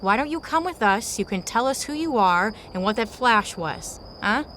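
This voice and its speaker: A sensible, dry-witted survivor in an audio drama. Her voice is crisp-edged and bright, pitched slightly high, with a matter-of-fact manner. Faint noise sits behind it. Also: animated, normal speed. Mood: puzzled, practical. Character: level-headed, reasoning female survivor, calming her partner.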